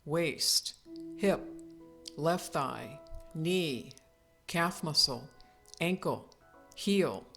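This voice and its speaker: A woman leading a guided yoga nidra relaxation as the instructor, speaking in calm, soothing, unhurried instructions with pauses. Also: sits low, creaky, soft background music.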